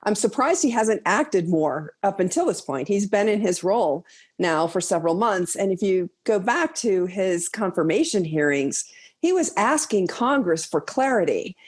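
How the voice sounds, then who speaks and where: A woman commentator explaining her analysis in a measured, conversational interview style. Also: medium pitch, lively intonation, husky.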